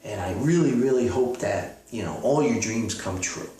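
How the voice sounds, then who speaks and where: A man's voice: fairly low pitch, expressive, normal speed, warm tone, lightly acted. A man playing a chipper, bumbling, delusional self-styled famous author in a comedy skit, stammering and rambling in a folksy, optimistic way. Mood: hopeful, consoling himself. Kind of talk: improvised-sounding monologue comedy skit. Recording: echoey room, far from the mic.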